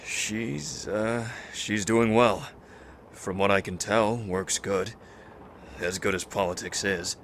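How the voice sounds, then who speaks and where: Man acting a low, crisp-edged, earnest doctor friend. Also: fairly bright tone, slight background noise, full character voice. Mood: reserved. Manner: measured, consoling. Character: calm, earnest male doctor, a steadfast old friend. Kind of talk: dramatic audio drama acting.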